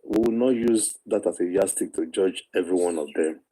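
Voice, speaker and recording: A man's voice: low voice, a little fast, a loud crowd, low-quality recording. A Nigerian man reasoning persuasively in an informal discussion, repeating phrases to press his point.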